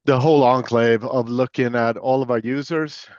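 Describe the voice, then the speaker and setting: A middle-aged man with a Swedish accent tinged by the American South, a company security chief answering interview questions in a relaxed, modest way with many fillers. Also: mid-range voice, moderate pace, dry recording. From Sweden. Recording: dry, slightly dull remote call audio.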